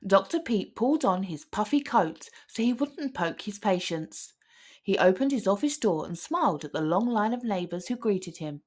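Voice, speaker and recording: A woman narrating a children's picture book aloud as an expressive storyteller. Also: medium pitch, moderate pace. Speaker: storybook reader.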